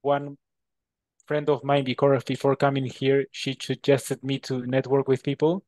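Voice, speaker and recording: A man from Peru with a Peruvian Spanish accent, a native Spanish speaker answering interview questions in a halting way, often repeating words. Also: medium pitch, level tone, darkish tone, dry recording. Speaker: interview guest.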